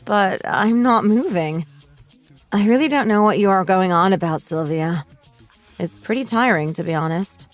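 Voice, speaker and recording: A woman's voice: mid-range voice, lively intonation, normal speed, soft background music, close to an everyday voice. A woman playing a sensible, put-upon mother in her late thirties in a radio drama, polite but firm. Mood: puzzled, exasperated. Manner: polite, reasonable, mildly exasperated.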